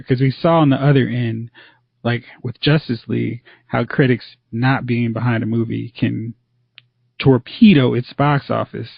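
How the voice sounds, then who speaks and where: Podcast host, male, gravelly, dry close sound with a slightly dull lo-fi edge; poses long rambling questions. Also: medium pitch, dry recording. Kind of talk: casual movie podcast chat.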